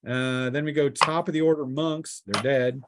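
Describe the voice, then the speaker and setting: A man's voice: mid-range voice, fairly even intonation, moderate pace, heavy background noise. A man acting as game master, refereeing a tabletop game and narrating the action in a casual, improvised way with vivid touches.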